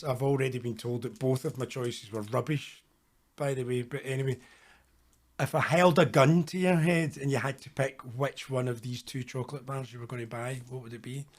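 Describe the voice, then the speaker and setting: A man hosting a podcast interview, chatty and friendly, with frequent restarts. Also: medium pitch. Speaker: podcast host. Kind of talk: casual interview.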